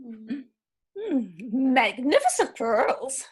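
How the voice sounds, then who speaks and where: A woman's voice: sits high, big pitch swings, extremely slow, husky, full character voice. A woman playing a wistful, formal divorcée in an amateur stage reading. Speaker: reader in a play reading. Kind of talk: amateur readers' theatre acting.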